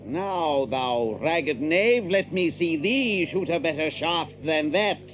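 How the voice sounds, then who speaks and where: An actor playing a haughty, bullying lord in an audio drama, speaking pompous, archaic English. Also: male voice, on the high side, lively intonation, slow pace, a little hiss or hum. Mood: lordly. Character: haughty, bullying lord presiding over archery contest. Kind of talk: theatrical audio drama acting.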